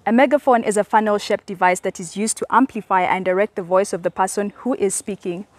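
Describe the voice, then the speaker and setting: A young woman giving a scripted product presentation to camera, explaining in a measured way. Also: mid-range voice, no echo. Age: young adult. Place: outdoors in a leafy garden.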